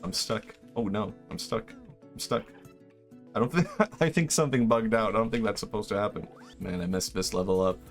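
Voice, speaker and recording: Young man from Sweden, native Swedish speaker, low voice, rambling casual stream chat, self-deprecating. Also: brisk, soft background music. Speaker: game streamer. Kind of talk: casual live-stream chat while gaming.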